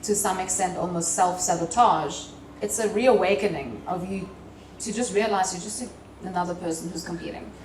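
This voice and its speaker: Woman moderating, distant in a noisy echoey hall. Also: on the low side. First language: German. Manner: halting, repeats words while searching for them. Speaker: panel moderator. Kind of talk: live panel discussion. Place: seminar hall at a festival. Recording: roomy echo from far off, loud background noise.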